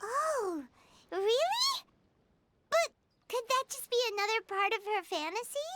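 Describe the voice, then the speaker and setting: A woman voicing a tiny, excitable childlike fairy companion with a squeaky voice in a video game cutscene. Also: a little slow, a little hiss or hum, heavily acted. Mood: puzzled. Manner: refers to self in third person, exclamatory. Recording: music behind the voice.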